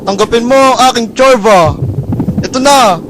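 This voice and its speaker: A man's voice: very high-pitched, normal speed. A man voicing a flamboyant, boastful male alien invader in an amateur radio drama, with a sassy, mocking delivery. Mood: taunting. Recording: noisy amateur recording.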